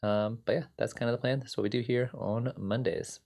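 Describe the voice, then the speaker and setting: A man's voice: medium pitch, fairly even intonation, brisk, creaky, darkish tone. A man with a slightly scratchy voice hosting a casual music production livestream, rambling easily with many fillers and greeting viewers in chat. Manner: talks to viewers by name and answers chat. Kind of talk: casual live-stream chat.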